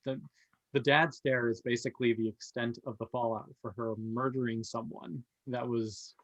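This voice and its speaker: A man's voice: medium pitch, flat delivery, normal speed, no room sound, muffled recording. A man co-hosting a casual review discussion, talking in an opinionated, conversational way. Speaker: podcast host.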